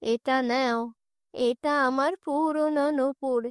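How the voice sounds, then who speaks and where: Woman putting on a very slow old grandmother. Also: high-pitched, steady tone, no room sound, clean studio sound. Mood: reproachful, tender. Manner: slow, drawn-out delivery. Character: frail old grandmother, slow, doting, protective. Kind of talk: cartoon fairy-tale dialogue.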